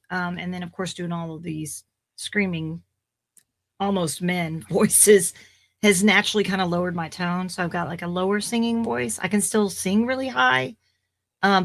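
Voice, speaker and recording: Podcast guest, woman in her forties, low-pitched and slightly raspy, rambling and chatty. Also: fairly bright tone. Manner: chatty, rambling, with fillers and restarts. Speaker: voice actor guest. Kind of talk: casual podcast interview.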